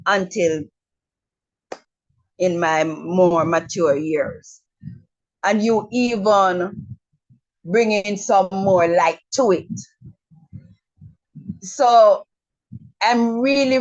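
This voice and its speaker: Frank, slow-talking Jamaican woman. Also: medium pitch, muffled recording. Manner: frank, repeats words, many ums. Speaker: webinar attendee. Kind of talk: casual remarks on a video call. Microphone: video call.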